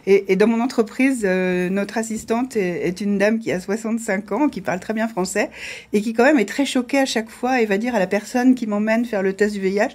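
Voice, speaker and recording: A woman's voice: medium pitch, brisk, a little mellow. A middle-aged woman from France, a business owner speaking as a studio panel guest and telling her experiences frankly and with confidence. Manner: frank, anecdotal, self-assured.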